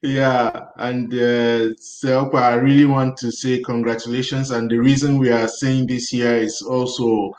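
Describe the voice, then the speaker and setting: A man's voice: mid-range voice, little pitch movement, a little slow, hardly any pauses, darkish tone, roomy sound, rough recording. A man hosting a talk show, chatting casually and encouraging his guest. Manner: brief encouraging interjections. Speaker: show host. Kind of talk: casual radio interview.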